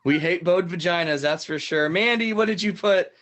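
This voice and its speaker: Man playing a high-pitched TV game show host. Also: normal speed, fairly bright tone. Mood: chatty, wry. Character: male TV game show host, chatty, smooth, mock-serious. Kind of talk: improvised game show parody.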